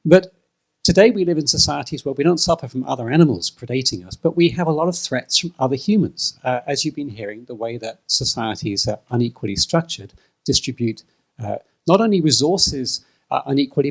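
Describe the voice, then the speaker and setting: A man addresses a live event audience from a panel, laying out an explanation in a measured, unhurried way. He leans on small fillers as he goes, keeping his pitch in an ordinary middle range. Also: lively intonation, creaky, low-quality recording. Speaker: panel speaker.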